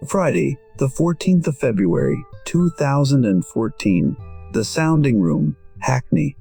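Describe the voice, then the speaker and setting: An actor playing a gentle, wistful musician in his sixties, narrating an audio drama in short, measured, reflective sentences. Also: medium pitch, husky, full character voice. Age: 60s. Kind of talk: first-person scripted audio drama narration. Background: faint music.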